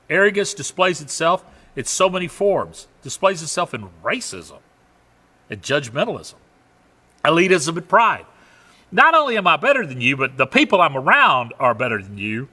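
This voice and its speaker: A middle-aged pastor with a Southern US drawl delivers a sermon in a bright, forward voice. His pitch rises and falls freely as he moves from loud, emphatic lines to hushed, confiding asides. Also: husky. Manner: conversational preaching, swings between loud emphasis and quiet asides.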